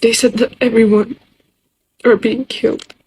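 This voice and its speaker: A young woman with a Persian accent speaks in a very breathy, husky voice pitched somewhat high. She gives a halting, distressed personal testimony to camera, in short simple sentences. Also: flat delivery, darkish tone, poor audio. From Iran. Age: young adult. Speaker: social media video maker.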